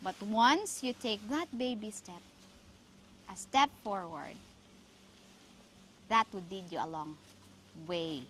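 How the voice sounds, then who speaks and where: A woman from the Philippines with a Filipino accent and a Cebuano mother tongue, hosting reflective, motivational talk with emphatic, deliberate phrasing. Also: mid-range voice, lively intonation, a little hiss or hum. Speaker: podcast host.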